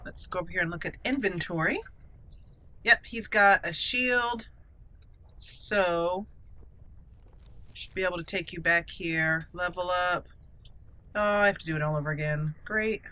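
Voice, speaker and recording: A woman's voice: lowish voice, measured pace, long pauses, bright, forceful tone. A woman hosting a let's-play video, commenting casually and talking to herself and the game characters as she plays. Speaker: gaming video host. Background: game music.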